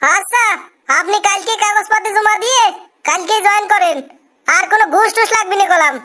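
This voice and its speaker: A woman's voice: extremely high pitch, fairly quick, bright, forceful tone. A woman voicing a weary, grumbling cartoon job interviewer in colloquial Bangladeshi dialect, given to easy praise. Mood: pleased, approving. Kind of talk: comic cartoon dialogue.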